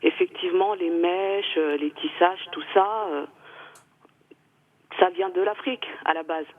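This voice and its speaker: A woman in her thirties calling in to a radio show, talking earnestly and hesitantly, with many pauses. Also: on the low side, fairly even intonation. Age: 30s. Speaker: caller. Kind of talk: radio call-in comment. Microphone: telephone. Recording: phone line.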